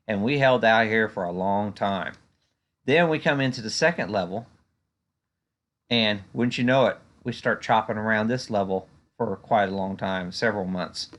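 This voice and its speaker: A man giving unscripted stock market commentary in a conversational, halting way, often padding with "you know". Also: on the low side, a bit bright. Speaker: stock market analyst and video host.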